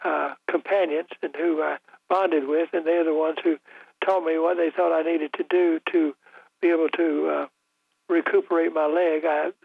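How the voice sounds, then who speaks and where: An older man hosting a call-in radio talk show, talking in a halting way with many fillers and restarts, his voice a little rough. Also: fairly high pitch, level tone, no echo. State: voice slightly rough after illness. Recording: dry, tinny broadcast audio.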